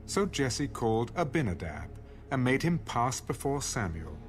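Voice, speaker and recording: A man narrating an audio Bible in a formal, measured storytelling style. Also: medium pitch, sing-song, faint music behind.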